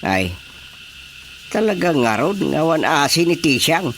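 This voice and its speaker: A man acting in an Ilocano radio comedy-drama as an expressive, opinionated villager reacting to gossip, with an Ilocano Filipino accent. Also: on the high side, a little slow, slight background noise, full character voice. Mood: opinionated, wry.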